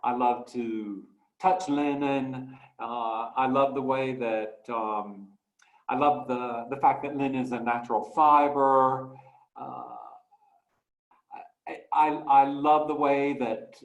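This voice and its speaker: A man walks listeners through his own artworks, pausing often, repeating words and searching for the next phrase. His voice sits in a middle pitch and sounds roomy, with a clear echo around it. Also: steady tone. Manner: halting, many fillers and restarts. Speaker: guest artist. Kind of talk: presenting artwork in a talk.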